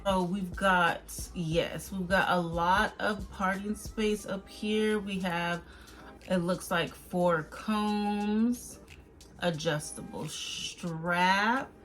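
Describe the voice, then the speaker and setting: A woman's voice: on the low side, fairly even intonation, very slow, fairly bright tone. A woman hosting a beauty vlog, talking casually and directly to viewers in an informal, chatty review style. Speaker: beauty vlogger and host.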